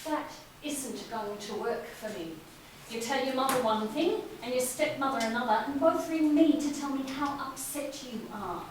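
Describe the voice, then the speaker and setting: A woman's voice: high-pitched, moderate pace, close to an everyday voice. A woman acting a scornful, domineering wife in a stage drama, with a cutting, sneering delivery. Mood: mocking. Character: scornful, domineering wife and hostile sister-in-law. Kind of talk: amateur stage play acting. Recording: echoey room from far off, faint noise.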